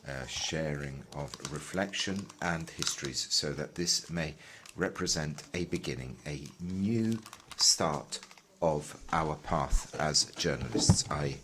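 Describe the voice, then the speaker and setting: A man working as a simultaneous interpreter, relaying a panel talk in a measured way, pausing with fillers as he keeps up. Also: rumbling low, fairly bright tone, a little hiss or hum. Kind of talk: live conference interpretation.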